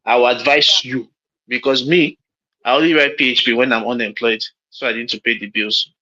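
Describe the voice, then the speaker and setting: A man's voice: medium pitch, fairly even intonation, fairly quick, bright, forceful tone. A man in his late thirties with a Nigerian accent, giving frank, rambling career advice on a call and often restarting his sentences. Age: late 30s. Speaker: mentor hosting a call. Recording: lo-fi call audio.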